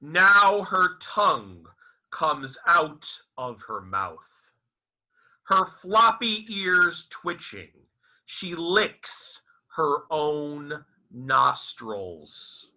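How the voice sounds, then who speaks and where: A man reading literary prose aloud as its author, in a dramatic, deliberate recitation with halting phrases and emphatic enunciation. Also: on the high side, very animated, sharp tone, low-quality recording. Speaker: author reading his own novel aloud.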